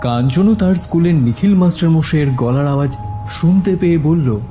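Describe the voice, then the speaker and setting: Guy telling a spooky story, soft mellow tone, mid pitch, light music behind. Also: moderate pace. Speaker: horror story narrator. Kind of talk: scripted suspenseful storytelling. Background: background music.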